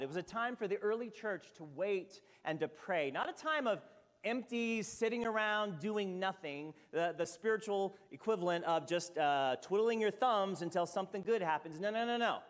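A man in his fifties, a senior pastor from Hawaii, preaching a sermon at the pulpit with lively storytelling and asides. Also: sits high. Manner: animated storytelling with sweeping gestures and asides. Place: church sanctuary at a pulpit. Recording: long reverb of a church hall.

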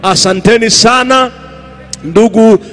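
A man's voice: sits high, measured pace, a bit bright. A man with a Kenyan accent making long, flowing announcements and thank-yous to a night stadium crowd. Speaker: crusade organizer. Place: large outdoor stadium at night. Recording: reverberant stadium PA, faint music.

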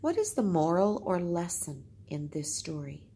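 A woman teacher reads aloud and explains things simply. Her voice is husky and slightly low, and she takes her time over the words. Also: big pitch swings, measured pace, warm tone. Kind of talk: storytime lesson, reading aloud with explanations.

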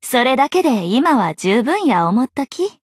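A woman's voice: high voice, big pitch swings, unhurried, slightly rough, dry recording, clean studio sound, full character voice. A woman playing a breezy, teasing young journalist and playful liar in an anime game, speaking in a coquettish, lilting Kansai dialect. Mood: frank, consoling. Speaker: game voice actor. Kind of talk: Japanese anime game voice acting.

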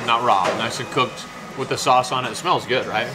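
A man in his fifties hosting a casual food vlog, chatty and playful, reacting loudly as he tastes sushi in a small restaurant. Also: medium pitch, moderate pace. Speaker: food vlogger host. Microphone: handheld camera close up. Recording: noisy restaurant room.

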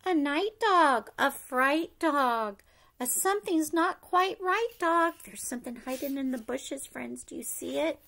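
A middle-aged woman reads a rhyming picture book aloud to young children in an expressive sing-song way, pausing to ask them questions. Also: high-pitched, gravelly. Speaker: preschool story-time teacher reading aloud. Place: living room at home, indoors.

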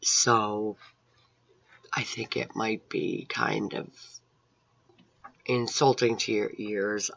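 Woman, middle-aged, gravelly husky voice, unhurried earnest testimony, dull webcam sound. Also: rumbling low, steady tone, fairly bright tone. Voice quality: raspy, hoarse. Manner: rambling, earnest, religious. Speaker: Bible college student. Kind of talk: personal video testimony. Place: room at home with painted scripture wall. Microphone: webcam at close range.

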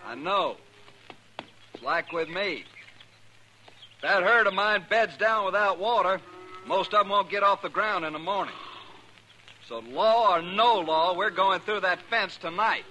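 An American actor playing a desperate, hot-tempered cattle trail boss in a 1950s radio western, blunt and folksy. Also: male voice, high-pitched, measured pace, long pauses, pushed and bright. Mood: grim. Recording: noisy vintage 1950s radio recording.

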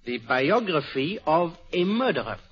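A fussy detective with a French accent. His husky, slightly high voice weighs every word with polite precision. It comes through a dull, muffled old radio recording. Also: big pitch swings, measured pace, a bit bright, faint music behind, heavily acted. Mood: poised. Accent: French-accented English. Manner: precise, musing, polite formal phrasing. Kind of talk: 1940s radio acting.

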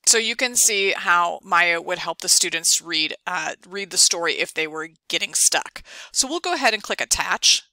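A woman presenting an instructional screencast, explaining things clearly step by step. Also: fairly low pitch, lively intonation, normal speed, few pauses, bright, forceful tone, no room sound. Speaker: ed tech tutorial presenter. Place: dry close voice-over for a screen recording.

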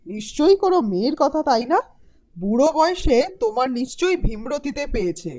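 Woman as scolding cartoon housewife, suspicious, nagging, high pitch, lively intonation. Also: a little fast. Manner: nagging, threatening. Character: suspicious, scolding village wife of a peanut seller. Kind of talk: Bengali cartoon voice acting.

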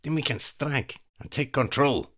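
A man's voice: mid-range voice, measured pace, fairly bright tone, voice pushed up. A man voicing a cruel, scheming female vampire in an audiobook reading, icy and imperious. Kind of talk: fan fiction audiobook reading.

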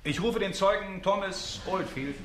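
An actor playing a formal prosecuting counsel in a courtroom radio play, questioning methodically. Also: male voice, high-pitched, moderate pace, a little hiss or hum, close to an everyday voice.

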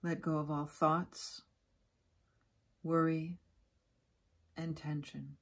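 A woman guiding a yoga nidra relaxation in a soft, breathy, soothing voice, giving gentle instructions with long pauses. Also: low voice, level tone. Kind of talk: guided relaxation meditation.

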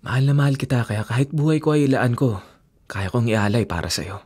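A man's voice: medium pitch, lively intonation, a little fast, a little mellow, husky. A Filipino actor in his twenties playing a devoted, sweet-talking boyfriend in a radio drama. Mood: tender, devoted. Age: 20s. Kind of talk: Tagalog radio drama acting. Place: small padded radio studio. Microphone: studio microphone on boom arm.

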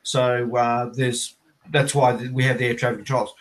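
A man's voice: mid-range voice, flat delivery, moderate pace. Australian man in his fifties explaining things. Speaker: interviewee, air traffic controller. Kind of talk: conversational explanation in an interview. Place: plain indoor room, video call.

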